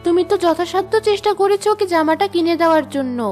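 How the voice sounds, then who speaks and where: A woman's voice: extremely high pitch, fast, a little mellow, faint music behind. A woman voicing a caring cartoon mother. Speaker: narrator and mother.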